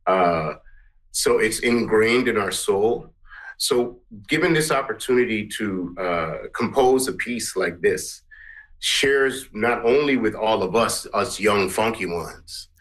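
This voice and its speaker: A middle-aged musician from Guyana answering interview questions in an earnest, rambling way. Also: male voice, on the low side, slow, a bit bright, low-quality recording. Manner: earnest, rambling, many restarts. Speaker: musician and composer.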